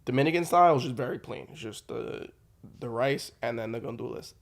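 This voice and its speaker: A young man on a podcast, relaxed and chatty. He agrees easily and talks with a middle-pitched voice. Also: fairly quick, gravelly. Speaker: podcast guest. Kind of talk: casual chat.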